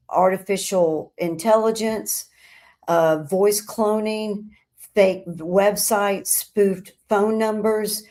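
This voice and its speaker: A woman hosting a scripted explainer, speaking in deliberate, emphatic phrases with pauses. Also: medium pitch, mellow. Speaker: host of a crime statistics show.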